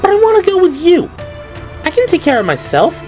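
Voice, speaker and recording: A man's voice: very high voice, huge pitch swings, brisk, voice pushed up, full character voice. A man voicing an eager, insistent young woman in an audio drama, earnest and pleading, with music behind. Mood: flustered, insistent.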